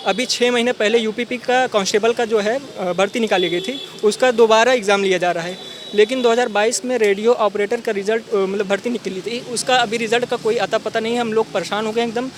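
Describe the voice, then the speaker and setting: A man's voice: high voice, fairly even intonation, brisk. A man, speaking earnestly and pleadingly as a job candidate in a street interview. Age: late 20s. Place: outdoors by a city street. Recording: outdoor street noise, traffic.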